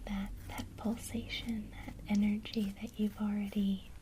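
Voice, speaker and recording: A woman teaching witchcraft basics in a soft, encouraging ASMR whisper. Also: mid-range voice, little pitch movement, measured pace, noisy background.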